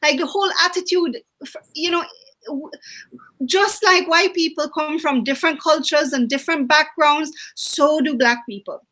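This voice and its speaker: A woman's voice: high-pitched, fairly bright tone, poor audio. A woman hosting a panel conversation, eager and full of questions. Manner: eager, questioning, quick responses. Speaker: host.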